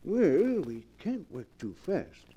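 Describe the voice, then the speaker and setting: An actor playing a sly old scheming professor with a husky voice, in 1940s radio drama style. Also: male voice, high-pitched, sing-song, takes it slowly, warm tone, muffled recording, exaggerated voice. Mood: gloating, conspiratorial. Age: older.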